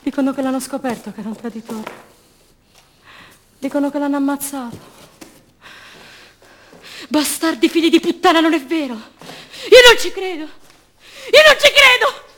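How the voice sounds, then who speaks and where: A woman's voice: high voice, lively intonation, moderate pace, pauses often, fairly bright tone. A woman acting an anguished young imprisoned mother in a radio theatre monologue, theatrical and repetitive, by turns defiant, tender and fearful. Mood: anguished, pleading. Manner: theatrical, repetitive, emotionally raw delivery.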